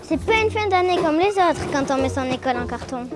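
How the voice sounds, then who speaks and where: A woman's voice: high-pitched, expressive, fairly quick. A woman presenting informally to camera. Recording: music behind the voice. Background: music.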